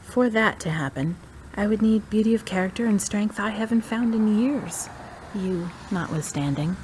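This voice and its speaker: A woman's voice: mid-range voice, normal speed, a little mellow, a little hiss or hum, close to an everyday voice, low-quality recording. An actress playing a frank, playful divorced woman in a radio drama, talking in a teasing, direct way.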